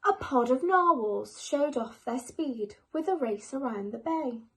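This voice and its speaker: Slow, high-voiced woman reading a bedtime story. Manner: expressive, measured storytelling. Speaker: storyteller reading aloud, school staff. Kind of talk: children's bedtime story narration.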